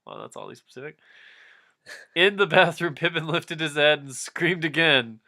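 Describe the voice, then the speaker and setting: A man with a bright, forceful voice reads a story aloud in a mock-dramatic way. He keeps breaking off into casual, amused asides and stumbles back into the narration. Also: on the high side, moderate pace. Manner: breaks into giggles, mock-dramatic, self-interrupting. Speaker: reader of a fan fiction dramatic reading.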